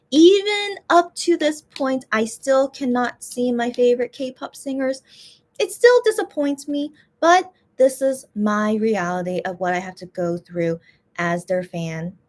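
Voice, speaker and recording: A woman speaks in a somewhat high voice, in the informal style of a vlogger. She talks straight to her viewers in casual chat. She often says a phrase again to drive it home. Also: darkish tone. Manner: repeats phrases for emphasis.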